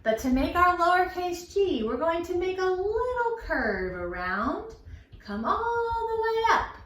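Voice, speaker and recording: A woman's voice: extremely high pitch, very animated, unhurried, a bit bright. A middle-aged woman hosting a storytime for young children, speaking in simple, sing-song phrases with emphatic words and questions to her listeners. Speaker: children's library storytime host. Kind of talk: children's lesson with rhymes and questions to young viewers. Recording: roomy echo with background noise.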